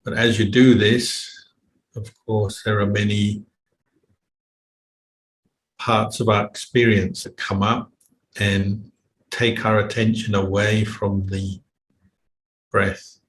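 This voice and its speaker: A man with a fairly low, flat-pitched voice leads a guided meditation. He speaks in a measured way with long gaps between phrases. The sound is echoey, as in a room, with faint noise behind him. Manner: measured, unhurried, with long pauses. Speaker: meditation teacher, Buddhist monk. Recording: roomy echo, faint background noise.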